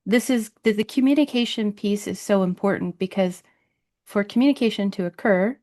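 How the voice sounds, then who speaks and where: A woman hosting a podcast talks in a loose, chatty way. She builds her points step by step and keeps asking "right?" as she goes. Her voice sits at a middle pitch. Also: normal speed, mellow. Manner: chatty and explanatory, with many "like" and "right?". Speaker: podcast host. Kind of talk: conversational interview.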